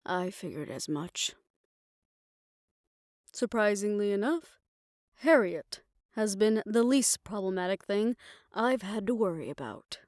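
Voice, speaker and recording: A woman plays an aging, proud female fixer who is worn down but fond of her lover. She speaks slowly and softly with wry understatement, slipping toward a whisper. The sound is dry and intimate, as in an audio roleplay drama. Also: medium pitch, animated, dry recording, natural voice. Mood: wry, confiding.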